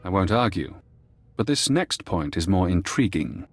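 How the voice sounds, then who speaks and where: Video game spy operative, low pitch, measured, precise, detached, clinical, faint music behind. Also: male voice, sing-song. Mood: calm, sardonic. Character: calm, clinical male intelligence operative held captive by conditioning. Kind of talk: video game dialogue acting.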